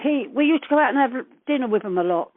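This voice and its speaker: Older woman, high-pitched, on the phone. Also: expressive, fast. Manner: chatty, earthy and blunt, swears casually. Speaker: interviewee recalling past events. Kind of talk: casual phone reminiscence. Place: on a phone call. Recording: narrowband telephone call, dry.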